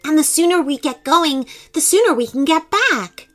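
A woman's voice: sits high, very animated, unhurried, fairly bright tone, soft background music, voice pushed up, full character voice. A woman voicing a confident, cheerful male elf leader in a children's story, upbeat and assured. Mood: gleeful.

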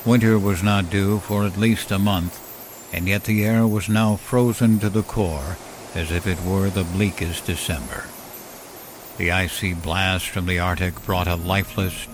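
Male storyteller for horror fiction, very low pitch, clear music bed underneath. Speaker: story narrator. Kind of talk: scripted horror story narration.

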